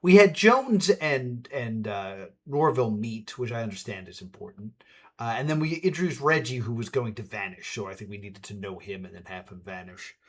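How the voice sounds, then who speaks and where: Man, stream host, casual commentary, halting and thinking aloud, medium pitch, swinging animated intonation.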